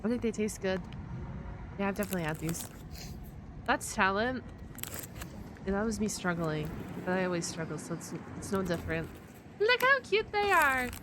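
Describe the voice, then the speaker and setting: A woman doing casual, chatty reaction commentary, exclaiming and thinking out loud in an informal way. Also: mid-range voice, big pitch swings, normal speed, pauses often, background noise. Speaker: reaction video streamer and host.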